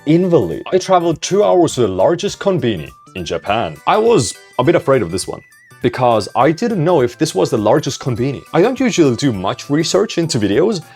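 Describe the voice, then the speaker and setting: Rambling young man vlogging over soft music. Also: on the high side, breathy edge. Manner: rambling, self-mocking, swears casually. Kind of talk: casual Q&A vlog chat.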